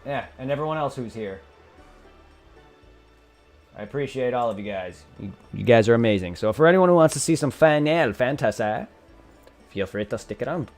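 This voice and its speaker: Young male streamer with a gravelly voice, loose rambling chat to viewers. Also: mid-range voice, lively intonation, moderate pace, long pauses, darkish tone, soft background music. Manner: rambling and self-deprecating, thanks viewers. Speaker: game streamer. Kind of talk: casual live stream chat. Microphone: desk condenser microphone.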